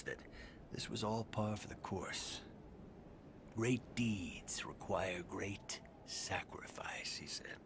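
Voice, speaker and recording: A jaded sarcastic rich man's son in a game, kinda low voice, dry and bitter, faint noise behind. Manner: dry, sardonic, weary. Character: bitter, sardonic estranged son of a tycoon. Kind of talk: video game cutscene acting. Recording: noisy game capture.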